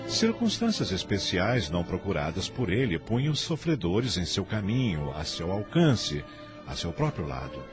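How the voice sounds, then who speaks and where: A man with a Brazilian accent narrating a radio drama in a solemn, literary, dramatic style. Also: low-pitched. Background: music.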